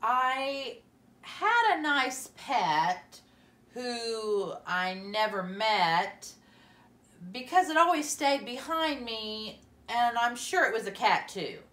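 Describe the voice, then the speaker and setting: Young schoolgirl, shy and sincere, voiced by a woman storyteller; higher, slow delivery, echoey room. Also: big pitch swings, full character voice. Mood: earnest reciting. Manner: soft, earnest, slow when reciting. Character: young schoolgirl Ruby, shy earnest copycat. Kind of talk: children's storybook character voice.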